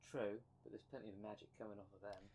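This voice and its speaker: A man's voice: lowish voice, fairly even intonation, fast, mellow, slight background noise, natural voice. A man playing the impatient, sarcastic half of a dream demon in an ASMR roleplay, blunt and slangy. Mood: skeptical. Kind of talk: ASMR roleplay audio drama.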